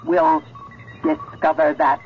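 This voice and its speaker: An actor playing a sinister talking machine in a 1970s radio drama, calm and insistent, with halting, deliberate phrasing. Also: male voice, on the high side. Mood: menacing. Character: sinister talking computer, calmly menacing and insistent. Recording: dull vintage radio recording, faint music.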